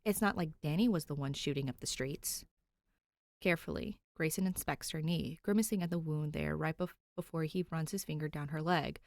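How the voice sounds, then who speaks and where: A woman's voice: low voice, creaky, a little mellow, dry recording, clean studio sound. A woman narrating fan fiction expressively from a script. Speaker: podfic narrator.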